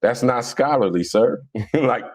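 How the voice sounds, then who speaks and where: A man's voice: mid-range voice, animated, unhurried, no room sound. A man with a Philadelphia accent hosting a casual live religious debate, answering viewers in a blunt, colloquial, mocking way. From Philadelphia, United States. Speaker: livestream host.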